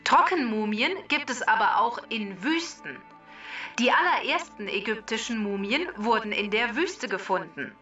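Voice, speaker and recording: A woman delivering scripted, factual explanations for children in a measured, instructive way. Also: a little high, animated, bright, forceful tone, distant mic, dull audio, soft background music. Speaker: expert explainer on a children's podcast.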